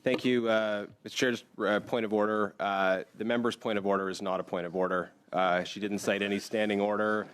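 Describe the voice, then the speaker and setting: A Canadian man with a low voice argues procedure in a committee. He sounds dry, pedantic and matter-of-fact. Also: steady tone, brisk, few pauses, a bit bright, clear, resonant tone. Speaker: member of parliament. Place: committee meeting room.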